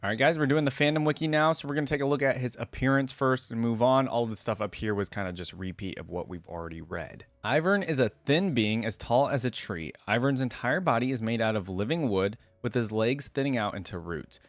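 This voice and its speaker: Casual guy doing reaction commentary, informal and into it, normal pitch, really dry mic. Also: a little fast, few pauses, no room sound. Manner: enthusiastic, informal, often reacting aloud. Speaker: reaction video host. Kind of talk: casual gaming reaction commentary, reading wiki text aloud.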